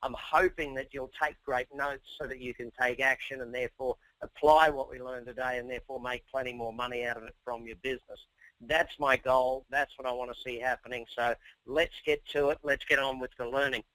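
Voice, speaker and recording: Bright-voiced Australian man coaching by webinar. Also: mid-range voice, fairly quick, no echo, low-quality recording. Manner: energetic, persuasive, talks straight to listeners. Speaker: business coach. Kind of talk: webinar presentation. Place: office or home.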